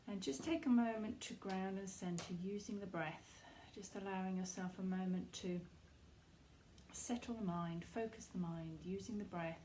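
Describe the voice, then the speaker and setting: Mellow-voiced woman guiding a meditation. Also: mid-range voice, a little hiss or hum, rough recording. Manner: soothing, reassuring, pausing between phrases. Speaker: meditation guide. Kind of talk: guided meditation, soft unhurried instruction.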